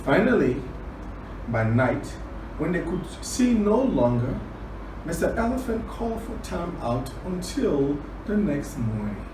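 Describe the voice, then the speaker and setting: Slow, animated male children's storyteller. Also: fairly high pitch. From Liberia. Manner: deliberate, repeats phrases for emphasis. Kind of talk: children's storytelling and word lesson. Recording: roomy, distant, clearly noisy recording.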